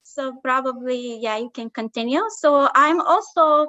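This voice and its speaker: Woman professor from Palestine, high pitch, hesitant delivery, dull call audio. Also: bright, forceful tone. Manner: halting, many fillers, laughs easily. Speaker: assistant professor and guest. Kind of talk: self-introduction in conversation. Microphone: video call.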